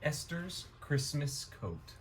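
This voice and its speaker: A man reading a rhyming children's story aloud in a gentle, expressive storytime manner. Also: fairly high pitch, takes it slowly, a little hiss or hum, low-quality recording.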